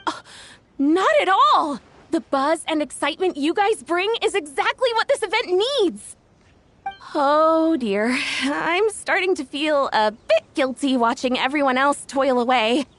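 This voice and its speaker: A woman's voice: high-pitched, animated, unhurried, dry recording. A woman voicing an earnest young event organizer in a video game, chatty, friendly and a little flustered. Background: soft game music.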